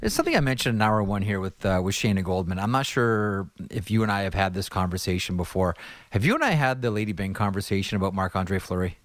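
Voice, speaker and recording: A man's voice: low-pitched, lively intonation, quick pace, no echo. A man with a nasal voice hosting a podcast, playful and enthusiastic in casual chat. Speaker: podcast host.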